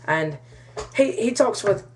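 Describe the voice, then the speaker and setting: A woman's voice: mid-range voice, lively intonation, slow pace. A woman from the United States telling a rambling, mock-serious comic monologue in a put-on British-Australian accent. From United States, Kansas. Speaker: comic storyteller.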